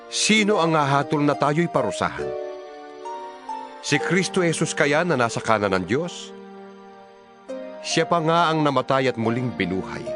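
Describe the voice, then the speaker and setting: A man giving a solemn, declamatory dramatized reading of scripture as narrator. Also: fairly high pitch.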